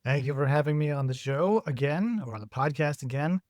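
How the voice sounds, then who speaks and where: A man who is a guest author, speaking hoarse and gravelly while getting over an infection, explaining his ideas in a measured, casual podcast interview. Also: a little high, lively intonation, darkish tone, no room sound, clean studio sound.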